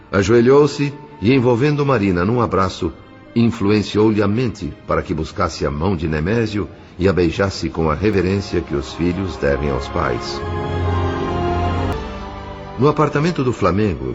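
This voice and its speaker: Deep-voiced Brazilian man narrating solemnly. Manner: measured, formal, solemn. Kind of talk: scripted dramatic narration of an audio novel. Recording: dull lo-fi audio with music underneath.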